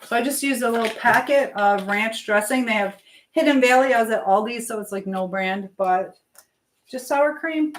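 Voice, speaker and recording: A woman's voice: fairly high pitch, moderate pace. Chatty woman live streaming, noisy recording. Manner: chatty, informal, addresses viewers directly. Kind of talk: casual live chat while cooking, reading out viewer comments. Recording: clear background noise and clicks.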